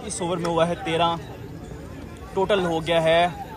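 A man's voice: fairly high pitch, a little slow. A man giving live ball-by-ball commentary on an amateur cricket match at an outdoor floodlit ground, calling every ball. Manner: excited commentary, rising on big shots. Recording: crowd noise from an outdoor ground. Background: players and crowd chatter.

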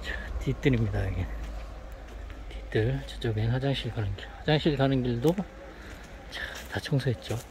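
A man's voice: mid-range voice, moderate pace, pauses often, a little mellow, a little hiss or hum. Chatty man vlogging outdoors. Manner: upbeat, chatty, talks to the camera. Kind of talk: casual vlog narration. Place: outdoors in an overgrown yard. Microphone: phone at arm's length.

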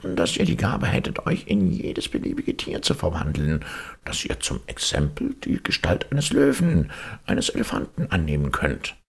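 A man's voice: very deep voice, sing-song, moderate pace, creaky, breathy edge, voice pushed up, exaggerated voice. A man voicing a cunning, bossy talking tomcat in boots, theatrical and wheedling, for a fairy tale. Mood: flattering, wheedling.

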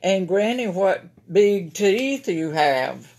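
A woman's voice: mid-range voice, sing-song, takes it slowly, darkish tone, pitched above the performer's own voice, exaggerated voice. A woman with a Southern American accent voicing an innocent, trusting little girl in a storybook, earnest and polite.

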